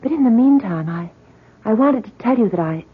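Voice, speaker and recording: Bubbly, husky college flirt, tinny sound. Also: female voice, on the high side, expressive, fairly quick. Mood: coy, flattering. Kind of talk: 1970s radio drama acting. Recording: tinny, narrow old radio broadcast.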